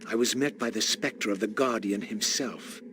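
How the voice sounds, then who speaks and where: An actor playing a brooding undead wraith in a video game, narrating with formal, eloquent, theatrical diction and a solemn manner. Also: male voice, on the low side, normal speed, noisy background, natural voice. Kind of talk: dramatic scripted game narration.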